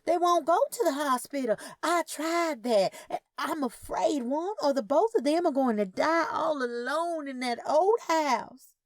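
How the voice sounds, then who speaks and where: A woman's voice: sits high, animated, a little slow, hardly any pauses, dry recording, studio quality, voice pushed up. A woman voicing an anxious, desperate older Southern aunt in an audio story. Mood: desperate, pleading. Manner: anxious, pleading.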